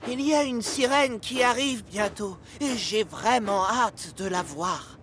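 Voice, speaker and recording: A man voicing an eager, dreamy young talking sailboat in a French cartoon, with a wide-eyed, excitable manner. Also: high voice, measured pace, a little hiss or hum.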